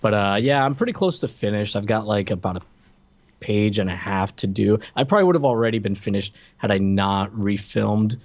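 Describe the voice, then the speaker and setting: A man co-hosting a podcast, chatting casually and haltingly in a movie review style. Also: lowish voice, a little mellow, muffled recording. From Florida, United States. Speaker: podcast co-host.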